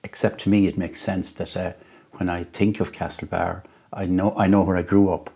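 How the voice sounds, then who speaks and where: An Irish musician and storyteller giving reflective interview answers at home, speaking slowly and thoughtfully, with frequent pauses and restarts. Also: male voice, low voice, flat delivery, creaky, dark tone, breathy edge. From Ireland. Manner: slow, thoughtful, halting with many restarts.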